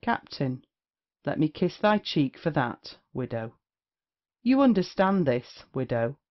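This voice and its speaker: A man playing a worldly, wry gentleman of the court in a period comedy audio play, with declamatory delivery. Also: fairly high pitch, very animated, slow pace. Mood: wry, knowing. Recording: dull lo-fi audio.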